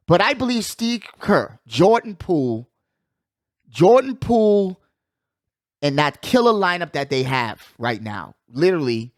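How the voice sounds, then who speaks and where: A man hosting a casual sports talk show, giving animated, slangy commentary and repeating phrases for emphasis. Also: high-pitched, no echo, clean studio sound. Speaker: sports podcast host.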